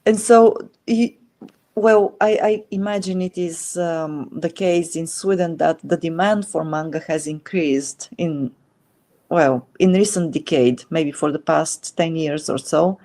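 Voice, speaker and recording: A middle-aged woman, interviewing in a relaxed chat and pausing often to think with fillers. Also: sits low. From Bulgaria. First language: Bulgarian. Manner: thoughtful, many filler pauses. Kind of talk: casual conversation.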